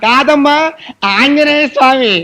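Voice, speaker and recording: An actor playing an exasperated priest who wails and laments theatrically in a film comedy. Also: male voice, very high voice, unhurried, noisy background. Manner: exaggerated wailing, theatrical lament. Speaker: priest conducting a wedding.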